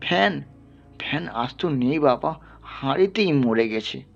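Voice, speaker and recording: A man's voice: medium pitch, animated, measured pace, creaky, dull audio. A man voicing a caring, dutiful ten-year-old village girl in an audio story, earnest, questioning and anxious. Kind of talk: dramatized literary audio story. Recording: band-limited, music underneath.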